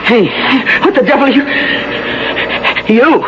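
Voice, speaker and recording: A tough, scared woman talks in blunt American slang, defiant and lively in pitch. It is vintage radio drama acting on a dull recording with clear background noise. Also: measured pace, roomy sound, long reverb tail. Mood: frightened defiance. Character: tough, frightened woman woken by an intruder.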